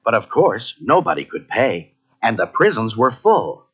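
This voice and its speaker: Animated male storybook narrator. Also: mid-range voice, a little slow, slightly rough. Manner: dramatic, expressive storytelling. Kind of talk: scripted children's read-along narration. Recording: muffled old cassette, music behind.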